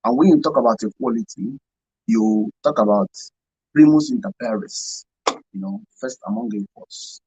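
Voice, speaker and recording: A man's voice: medium pitch, normal speed, warm tone, husky, no echo, lo-fi sound. A man with a Nigerian accent giving earnest, emphatic explanations as a guest in a radio studio interview. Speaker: radio show guest, NGO volunteer.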